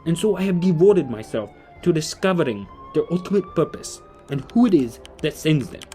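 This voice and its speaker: A man playing a kindly, learned, wordy gentleman who explains things formally in an audio drama. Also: fairly high pitch, big pitch swings, moderate pace, music in the background. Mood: earnest, explanatory. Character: kindly, learned, verbose gentleman leading a group of children. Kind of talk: audio drama dialogue and narration.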